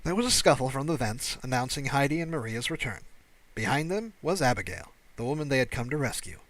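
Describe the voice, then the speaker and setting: A man's voice: medium pitch, lively intonation, a little fast, a bit bright, no echo, poor audio. A man reading science fiction as an audiobook narrator in scripted storytelling style.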